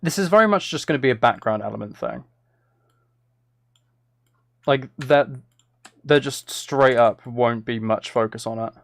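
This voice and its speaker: A man's voice: medium pitch, measured pace, long pauses, no room sound. Man musing aloud over music. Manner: musing, talks to himself, trails off mid-thought. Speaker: live streamer making music. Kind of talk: casual livestream chat, thinking aloud while producing music. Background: synth and drum loops from music software, clicking.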